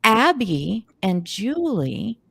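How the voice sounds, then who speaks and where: A woman's voice: mid-range voice, very animated, extremely slow, creaky, a bit bright, husky, dry recording, clean studio sound. A woman hosting an unscripted group chat on a video call in a playful, exclamatory manner. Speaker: host.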